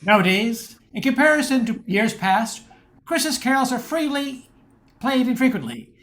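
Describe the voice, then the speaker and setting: A woman's voice: on the high side, sing-song, normal speed, fairly bright tone. A woman reading scripted narration as the storyteller of a radio play.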